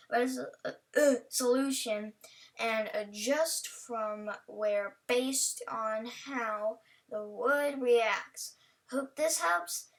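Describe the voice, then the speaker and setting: Bright-voiced, high-pitched woman, rambling reaction host. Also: gravelly. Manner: rambling, playful, talks to the on-screen character. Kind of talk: casual reaction commentary. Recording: voice a bit far from mic.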